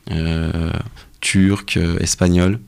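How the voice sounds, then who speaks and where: A young man speaking as a researcher guest in a casual radio interview, explaining things in a halting way with frequent pauses. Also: low voice, flat delivery, vocal fry, darkish tone. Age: young adult.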